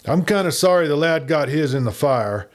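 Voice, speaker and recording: Man playing menacing western outlaw leader, angry, sharp-tongued, pitched a little up, audiobook. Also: animated, normal speed, natural voice. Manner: blunt, sharp, brooding.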